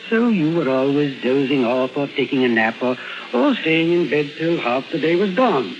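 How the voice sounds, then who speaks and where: An actor playing a self-assured, probing psychiatrist, firm but caring, with theatrical, deliberate questioning in 1950s radio drama style. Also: male voice, a little high, very animated. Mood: firm, measured. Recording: muffled vintage radio recording with music.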